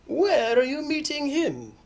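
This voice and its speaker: Woman voicing a loud, swooping storybook owl. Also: high-pitched, wildly swooping pitch, takes it slowly, voice pushed up, heavily acted. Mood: booming, curious. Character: loud predatory owl, falsely courteous, easily scared. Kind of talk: animated picture-book character reading.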